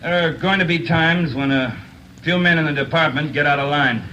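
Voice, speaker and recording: A man's voice: on the high side, moderate pace, sharp tone, dull audio. An American man playing a middle-aged veteran police officer, giving a blunt, emphatic speech in a 1940s radio drama. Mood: stern, earnest. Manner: plain-spoken, emphatic, hard-bitten. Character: blunt, earnest veteran male police officer lecturing cadets. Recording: hissy old 1940s radio recording.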